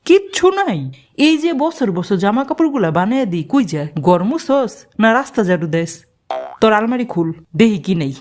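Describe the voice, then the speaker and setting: A woman's voice: on the high side, sing-song, normal speed. A woman voicing a hot-tempered, sarcastic mother in a cartoon, nagging her daughter. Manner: nagging, sarcastic, shouting rebukes. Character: hot-tempered scolding Bangladeshi mother, middle-aged. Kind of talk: cartoon voice acting.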